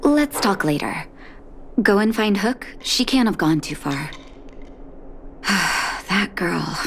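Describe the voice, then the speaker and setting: An actress playing a weary, caring carer of children in a video game, measured and gently scolding, exasperated yet fond. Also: female voice, medium pitch, expressive, slight background noise. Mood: resigned. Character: weary, caring adult woman, doctor and orphanage carer. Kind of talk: video game character acting.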